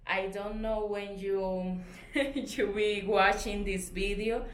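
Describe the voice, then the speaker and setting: A woman's voice: mid-range voice, steady tone, takes it slowly, roomy sound, low-quality recording. A Colombian woman, a native Spanish speaker, explaining a sewing project step by step in careful, hesitant English with a Colombian accent. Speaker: sewing tutor. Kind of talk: sewing tutorial narration.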